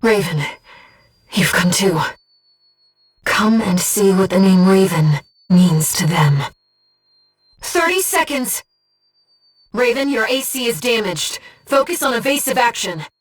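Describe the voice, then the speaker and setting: A measured, disembodied female mission operator. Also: medium pitch, expressive, far from the mic. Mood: urgent, alert. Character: disembodied female mind-voice guiding a mech pilot, calm, devoted. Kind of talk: video game voice acting, mission operator dialogue.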